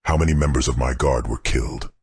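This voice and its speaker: A man voicing Satan as an enraged, paranoid tyrant demon king with a gravelly voice, speaking slowly and imperiously in an audiobook. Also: darkish tone, no room sound, clean studio sound, heavily acted. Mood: cold suspicion. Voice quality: very deep, gravelly, husky. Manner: slow, imperious, threatening.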